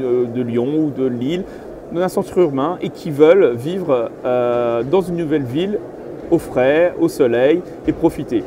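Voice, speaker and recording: A middle-aged man giving spontaneous interview answers as a property consultant, explaining things step by step. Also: fairly high pitch. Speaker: real estate agent and consultant being interviewed. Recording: clear urban background noise, trade fair interview.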